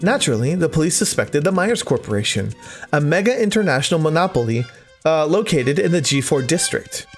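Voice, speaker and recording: A man's voice: on the high side, sing-song, fairly quick, soft background music. A man hosting a let's play, reading visual novel text aloud expressively with casual commentary. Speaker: gaming video host.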